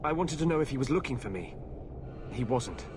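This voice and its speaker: An actor playing a grave, weary fugitive mage in a video game, speaking in an earnest, guarded way. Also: male voice, medium pitch, animated, a little fast, natural voice. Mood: guarded concern. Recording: noisy game ambience.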